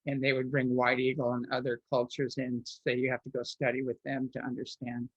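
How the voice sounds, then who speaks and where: A man interviewed as a guest, telling personal stories in a rambling way, restarting often and filling pauses. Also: mid-range voice, completely flat delivery, slightly rough, no room sound, dull audio. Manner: rambling, with frequent restarts and filler words.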